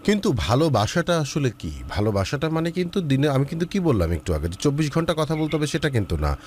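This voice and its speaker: Lively man giving love advice. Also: fairly high pitch, fast, a little hiss or hum. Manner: lively, advising, mixes in English words. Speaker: relationship advice host. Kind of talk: advice talk with a caller.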